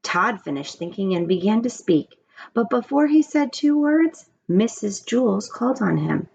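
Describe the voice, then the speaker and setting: Animated, creaky-voiced woman storyteller. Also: mid-range voice, normal speed. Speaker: story reader. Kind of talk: expressive read-aloud of a children's story. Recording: dull, muffled, background noise.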